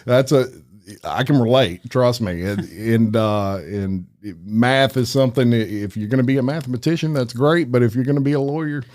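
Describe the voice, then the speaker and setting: An upbeat man hosts a casual podcast interview. He talks in a friendly, chatty way and often trips over his words and restarts sentences. His pitch sits in the middle of the usual range for a man. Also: normal speed, a little mellow. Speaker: podcast host.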